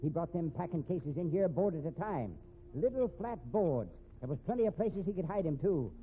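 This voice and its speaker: An actor playing a wily, genial old amateur sleuth in a 1950s radio drama, with a folksy ungrammatical drawl and a habit of repeating himself. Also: male voice, a little high, expressive, moderate pace, close to an everyday voice. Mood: shrewd, accusing. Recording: tinny band-limited old radio recording, hissy.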